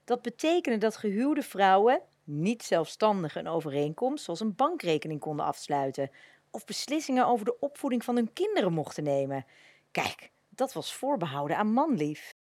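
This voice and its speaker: A woman's voice: mid-range voice, very animated, moderate pace, darkish tone, dry recording. A young adult woman presenting on a radio show, reading scripted narration.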